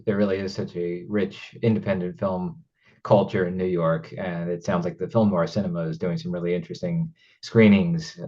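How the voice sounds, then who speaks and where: A man hosting a conversational interview, speaking in a hesitant, halting way. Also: sits low, mellow, muffled recording. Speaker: interview host, independent filmmaker.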